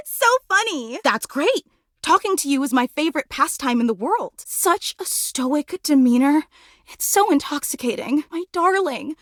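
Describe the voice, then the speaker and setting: Clingy obsessed fangirl game character, really high voice thats all over the place, melodramatic, super dry recording. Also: sing-song, measured pace, fairly bright tone, no room sound, full character voice. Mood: adoring, gushing. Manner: theatrical, gushing, needy, melodramatic. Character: obsessive, clingy, gushing lovestruck female superfan ceiling fan. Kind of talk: video game character acting.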